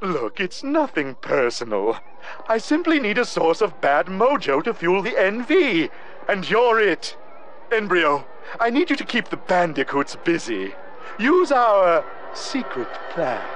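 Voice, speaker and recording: A man playing a flamboyant, scheming, sarcastic mad scientist villain in a cartoon video game cutscene, with theatrical drawn-out delivery. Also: sits high, very animated, a bit bright, a little hiss or hum, full character voice.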